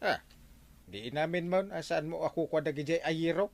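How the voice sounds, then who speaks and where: Village captain, slightly high male voice, Ilocano accent, hesitant, radio comedy drama. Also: normal speed, vocal fry, no room sound. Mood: questioning. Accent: Ilocano Filipino. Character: village captain handling his neighbours' complaints.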